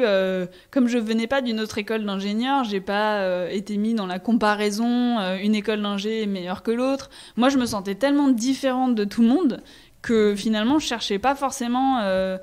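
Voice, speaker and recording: A young woman with a French accent speaks as a guest in a casual interview. Her voice sits at a middle pitch. She is candid and conversational, often pausing to find the right word. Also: clear, resonant tone. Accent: French from France. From France. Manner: hesitant, searching for words, candid.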